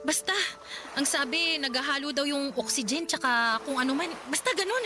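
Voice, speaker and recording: A woman's voice: sits high, moderate pace, lightly acted. An actress playing a worried young mother and wife, talking in a plaintive, pleading way. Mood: shaken. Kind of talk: radio drama acting. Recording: voice over drama music.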